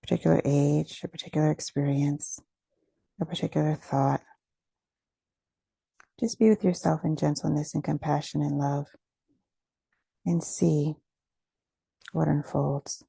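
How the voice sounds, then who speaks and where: A woman leading a guided meditation, giving gentle, soothing instructions with unhurried phrasing and pauses. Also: sits low, fairly even intonation, creaky, breathy edge, dry recording.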